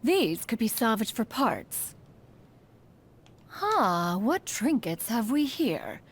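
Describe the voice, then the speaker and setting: Slow, formal young tinkerer. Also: female voice, fairly high pitch, sing-song, slightly rough, exaggerated voice. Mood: curious. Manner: formal, measured, precise. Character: refined, inquisitive young woman tinkerer with formal speech. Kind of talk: video game character voice acting.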